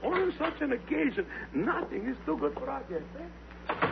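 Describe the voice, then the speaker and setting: An actor playing a gentle, poor immigrant engraver, courteous and hesitant, in 1950s radio drama style. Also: male voice, very high voice, huge pitch swings, moderate pace, vocal fry, very noisy, heavily acted. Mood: eager to please. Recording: tinny old radio broadcast recording.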